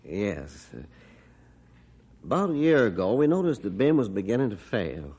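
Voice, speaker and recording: A grieving middle-aged father from an old radio play, telling his story in a deep, low voice. He speaks earnestly and from the heart. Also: big pitch swings, normal speed, darkish tone, slightly rough. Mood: somber. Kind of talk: 1950s radio drama acting.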